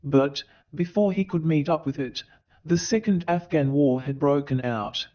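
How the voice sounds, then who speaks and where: A man playing a theatrical owl narrator who hosts a comic stage play with grandiloquent, wry, deliberate delivery. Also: a little high, highs cut off, loud music behind the voice, natural voice. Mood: grandly theatrical. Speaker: narrator and host.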